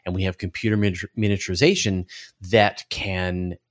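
A man giving explanatory answers as an interview guest on a podcast, halting as he thinks aloud. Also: low voice, steady tone. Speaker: interview guest, space commentator.

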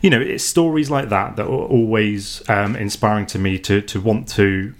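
A man's voice: fairly low pitch, moderate pace. A man chatting on a podcast in a hesitant way, with many ums and restarts. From United Kingdom. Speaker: podcast co-host. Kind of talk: casual podcast chat.

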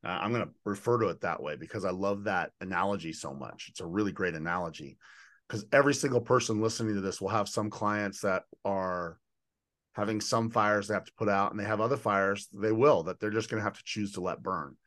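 A man's voice: lowish voice, brisk, a bit bright. A man hosting a conversational interview, speaking in an enthusiastic, affirming and emphatic way as he lays out his ideas. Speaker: podcast host and leadership coach.

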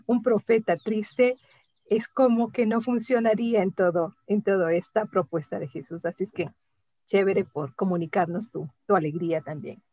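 A woman's voice: mid-range voice, normal speed, no echo, low-quality recording. Woman co-host, dry close sound. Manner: warm, encouraging. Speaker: co-host interviewer. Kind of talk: casual interview chat.

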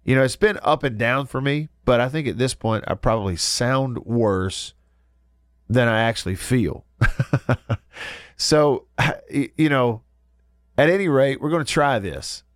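A man's voice: mid-range voice, a little slow, no echo. A man hosts a sports talk show on the radio, his voice stuffy and congested from a cold. He keeps it easygoing and chuckles often, restarting his sentences as he goes. The studio sound is dry and close. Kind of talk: casual radio chat.

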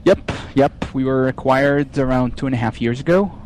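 Israeli-accented man, halting speech. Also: mid-range voice, a little mellow, a little hiss or hum, rough recording. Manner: halting, frequent restarts and fillers. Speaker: software developer guest. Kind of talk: casual podcast interview.

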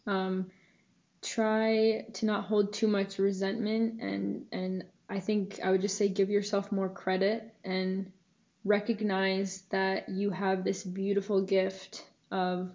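A woman's voice: mid-range voice, completely flat delivery, slow, a little mellow, muffled recording. A young woman speaking as a podcast guest, thoughtful and earnest, with hesitant fillers. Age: young adult. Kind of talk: casual podcast interview.

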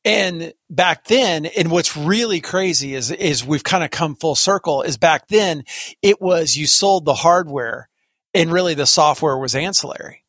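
A man's voice: on the high side, a little slow, a bit bright, dry recording, studio quality. A man hosting a casual podcast chat, rambling and restarting his sentences often. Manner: rambling, self-interrupting, many restarts. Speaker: podcast host, software company executive.

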